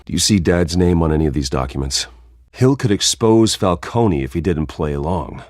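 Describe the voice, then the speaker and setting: An actor playing a brooding, guarded vigilante in a video game, terse and grave. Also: male voice, sits low, expressive, moderate pace, a little mellow, no echo, clean studio sound. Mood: grim, determined. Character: brooding, guarded wealthy vigilante man in his prime.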